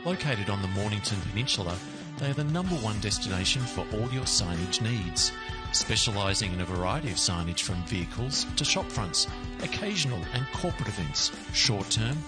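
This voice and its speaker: A man's voice: lowish voice, lively intonation, brisk, few pauses. A man reading scripted sponsor ads in an upbeat, salesy way. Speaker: ad voice-over announcer. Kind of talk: scripted radio ad read. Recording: ad over loud music. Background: music bed.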